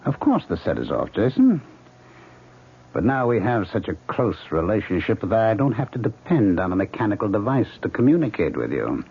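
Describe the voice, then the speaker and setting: An actor playing a smug male ghost in a radio drama, suave, mocking and insistent as he calmly schemes. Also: lowish voice, normal speed, gravelly. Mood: triumphant, smug. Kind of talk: 1970s radio drama acting. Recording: muffled vintage radio recording, hissy.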